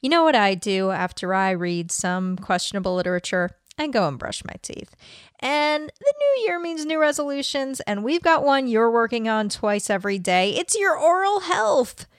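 A woman's voice: on the high side, very animated, normal speed, no echo, clean studio sound. A woman co-hosting a podcast in a casual, wry and playful way. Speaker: podcast host. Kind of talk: casual co-hosting chat and reading.

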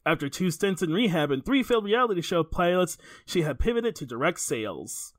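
A woman playing a screenplay narrator, reading scene directions in a declamatory, deadpan way. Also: on the low side, fairly quick, no room sound, studio quality.

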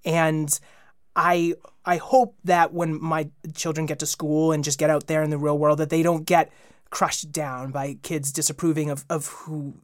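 A young man, a guest who hosts a children's podcast, talking earnestly with a slightly high voice and frequent restarts. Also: flat delivery, unhurried, dry recording, studio quality. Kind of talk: casual interview chat.

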